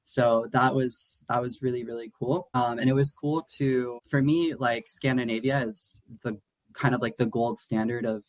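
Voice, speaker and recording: A man's voice: medium pitch, fairly even intonation, normal speed, dry recording, poor audio. A young man from Atlanta, talking casually as a guest with lots of restarts and "like" fillers. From Atlanta, USA. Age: young adult. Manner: rambling with frequent restarts and "like" fillers. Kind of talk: casual interview conversation.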